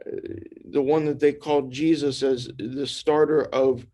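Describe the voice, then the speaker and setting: A man voicing an ancient spirit presence through trance channeling, speaking in slow, formal pronouncements. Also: medium pitch, steady tone, mellow, close to an everyday voice. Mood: solemn.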